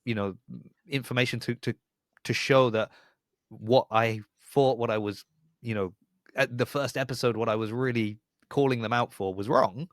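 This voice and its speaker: A man's voice: mid-range voice, fairly even intonation, normal speed, no echo, clean studio sound. A man hosting a podcast in casual fan discussion, jokey and given to voicing imagined remarks. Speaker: podcast host.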